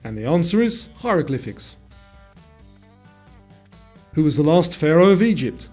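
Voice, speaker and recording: A man with a fairly high, very lively voice narrates a scripted quiz. He presents each question in a measured way, with a husky edge to the voice. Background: guitar music.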